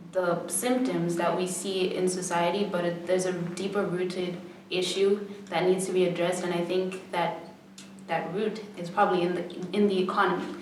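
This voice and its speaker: Student volunteer, woman, 18, reflective and unhurried, low voice; distant mic, strong room echo. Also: a little hiss or hum. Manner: reflective, with pauses and fillers. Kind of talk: documentary interview.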